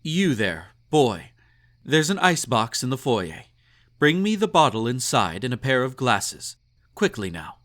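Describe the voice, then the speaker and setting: A man's voice: medium pitch, big pitch swings, unhurried, a bit bright. A man playing a snobby, possessive emperor in an intimate romantic audio roleplay, indulgent and menacing, with a haughty, purring, condescending delivery. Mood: jealous, commanding.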